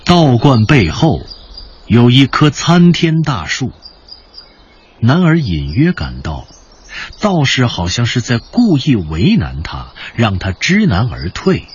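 A man narrating a scripted story with deliberate, dramatic phrasing, as in a radio drama. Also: medium pitch, wildly swooping pitch, dull audio, a little hiss or hum. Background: music.

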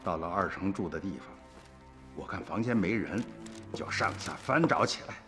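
A man's voice: deep voice, animated, normal speed, in a large hall. A man playing an earnest, suspicious village uncle in a radio drama, insistent and sighing. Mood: grave recollection. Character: earnest, suspicious rural uncle telling the past to a grandchild. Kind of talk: Chinese radio drama acting and narration. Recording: music behind the voice.

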